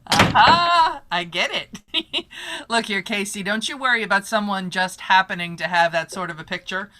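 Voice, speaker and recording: A woman's voice: medium pitch, lively intonation, normal speed, bright, forceful tone, a little hiss or hum. A woman playing a brash, eager newspaper photographer in an old-time radio drama, with a breezy, slangy, emphatic delivery. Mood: eager, scheming.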